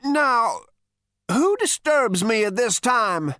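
A man's voice: extremely high pitch, sing-song, bright, forceful tone, no room sound, studio quality, pitched above the performer's own voice, full character voice. Screechy ancient alien great-grandfather. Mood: querulous. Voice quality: screechy, rasping. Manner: snapping, scolding, drawn-out emphasis. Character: tiny ancient two-headed alien great-grandfather, irritable and scornful.

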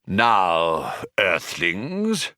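A man voicing a pompous, merciless alien male poet, with a whirring, strident voice that climbs high as he declaims. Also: wildly swooping pitch, very slow, pushed and bright, no echo, studio quality, full character voice. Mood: menacing.

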